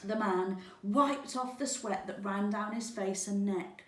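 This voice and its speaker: A woman reading a children's story aloud in a deliberate, expressive teacher's style. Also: mid-range voice. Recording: roomy, echoing, distant microphone.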